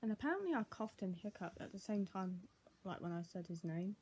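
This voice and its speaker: A young woman vlogger with a husky, muffled voice, speaking at home into a tablet. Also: medium pitch, animated, fairly quick, darkish tone, a little hiss or hum. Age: young adult. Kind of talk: casual home vlog, partly reading aloud. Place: small room at home, indoors. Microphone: tablet built-in mic at arm's length.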